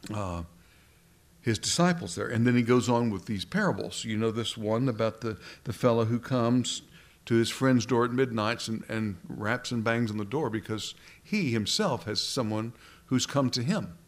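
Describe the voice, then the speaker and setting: Man preaching a halting, searching sermon. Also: on the low side. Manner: halting, repeats words while searching for phrasing.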